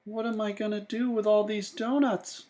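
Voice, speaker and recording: Kindhearted storybook boy, high and expressive, done by a male reader. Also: normal speed, natural voice. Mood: bewildered. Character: young boy Sam, earnest, kindhearted, plucky. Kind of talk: animated storybook character reading.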